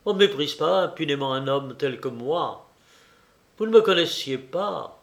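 A man voicing a proud, ruthless male banker in an audiobook reading, loud, cynical and cutting. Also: fairly high pitch, expressive, unhurried. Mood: furious gloating.

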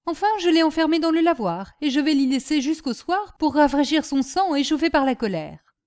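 Woman playing an exasperated, high-pitched master of the house. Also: lively intonation, brisk, darkish tone. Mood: indignant. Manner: scolding, emphatic, sarcastic. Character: exasperated, mocking middle-aged master of the household.

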